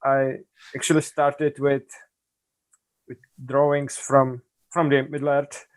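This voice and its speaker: A man with a Czech accent speaks at a slow pace in a casual interview. His phrasing is halting and hesitant, at a medium pitch. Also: little pitch movement. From northern Czech Republic. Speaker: podcast guest, artist.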